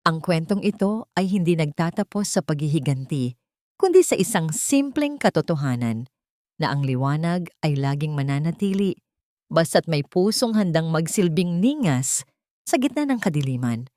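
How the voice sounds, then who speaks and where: Low-voiced woman storyteller, dry recording. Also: sing-song, moderate pace, dark tone, no echo. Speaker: audiobook narrator and storyteller. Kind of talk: dramatic scripted narration.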